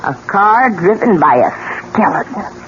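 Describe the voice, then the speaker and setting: An actress playing a bitter old widow with a slow, foreboding, dramatic delivery in a 1970s radio drama. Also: female voice, medium pitch, lively intonation, natural voice. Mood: ominous. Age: older. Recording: dull, noisy old radio broadcast.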